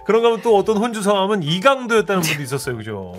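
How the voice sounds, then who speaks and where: A man's voice: sits high, big pitch swings, very fast. A man co-hosting a radio show from a studio, agreeable and upbeat as he chats and reads out notices close to the microphone. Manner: agreeable, often chiming in. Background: faint background music.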